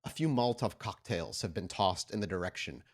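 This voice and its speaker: A man's voice: lowish voice, brisk, husky. A man narrating and refereeing a tabletop roleplaying game as game master, mixing description with casual exclamatory asides.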